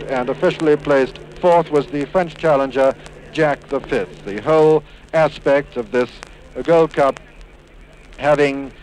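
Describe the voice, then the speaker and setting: A British man giving formal, precise live horse race commentary in a 1950s broadcast style. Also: medium pitch. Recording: noisy 1950s 78 rpm record.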